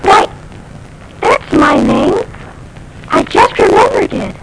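A woman voicing a shy, gentle little homeless boy in a 1940s radio play, with a high childlike voice. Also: slow, slight background noise, rough recording. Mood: soft wondering.

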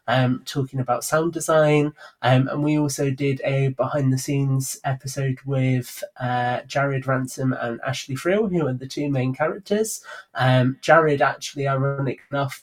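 A man talking casually as a guest on a podcast about his creative work. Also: medium pitch. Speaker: podcast guest, audio drama writer and producer.

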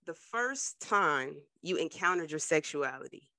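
A woman's voice: low voice, expressive, unhurried, bright, forceful tone, dry recording. A woman hosting an interview podcast, chatting casually with slang and a sing-song, upbeat delivery. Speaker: podcast host.

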